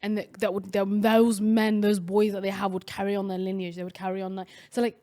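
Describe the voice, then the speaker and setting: A woman's voice: medium pitch, level tone, a little fast, mellow. Chatty young woman with many fillers. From Somalia. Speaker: podcast co-host. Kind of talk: casual podcast chat.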